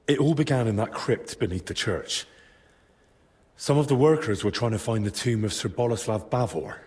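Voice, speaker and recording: Young medieval hero played by a male actor. Earnest, respectful, measured delivery, medium pitch, game drama. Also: a little mellow, close to an everyday voice. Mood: grave, explaining. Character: earnest, determined young man in medieval Bohemia. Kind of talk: dramatic video game cutscene acting.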